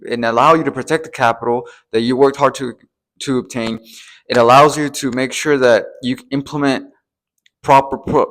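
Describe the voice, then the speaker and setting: A man's voice: medium pitch, a bit bright. Emphatic man giving business advice. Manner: emphatic, repeats key warnings, checks in with listeners. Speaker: treasury advisor, presenter. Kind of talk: explanatory advice to camera for business owners.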